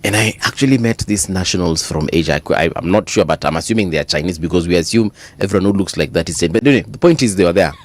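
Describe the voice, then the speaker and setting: A man with a Kenyan accent co-hosting a morning radio show, telling animated stories in casual chat and mixing Swahili into his English. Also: sits low, racing, few pauses. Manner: animated storytelling, mixes Swahili into English, laughs often. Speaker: radio co-host. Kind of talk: casual on-air banter. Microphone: studio microphone.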